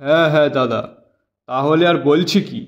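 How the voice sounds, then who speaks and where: A man plays a thrifty village shopper in a cartoon. His voice is a bit high, familiar and chatty, with emphatic phrasing. The recording is slightly dull. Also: normal speed, natural voice. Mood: pleased. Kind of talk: Bengali moral-story cartoon dubbing.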